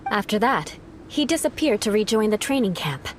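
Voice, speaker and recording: A woman's voice: mid-range voice, very animated, moderate pace, background noise, close to an everyday voice. A woman voicing a formal, precise young adventurer in a video game. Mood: composed. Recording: game audio with music.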